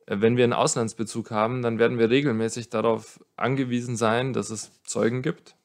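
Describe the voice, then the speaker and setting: A man's voice: mid-range voice, steady tone, a little fast. A man speaking as a legal expert in a podcast interview, explaining conversationally and thinking aloud. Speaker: lawyer, expert guest.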